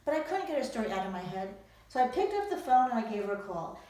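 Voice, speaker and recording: A woman's voice: on the high side, sing-song, quick pace, dark tone. A woman author giving an emphatic storytelling talk to young readers, posing rhetorical questions. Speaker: author presenting own book to young readers. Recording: very echoey room, distant from microphone.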